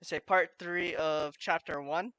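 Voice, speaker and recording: A gamer giving casual, halting commentary on a video game playthrough. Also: medium pitch, steady tone, clean tone, dry recording. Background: loud game music.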